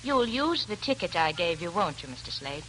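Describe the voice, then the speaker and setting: An actress playing a vivacious, trusting young stage performer in 1940s radio drama, theatrical and genteel. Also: female voice, fairly low pitch, big pitch swings, normal speed, a bit bright, rough recording. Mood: breezy. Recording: vintage radio recording with hiss.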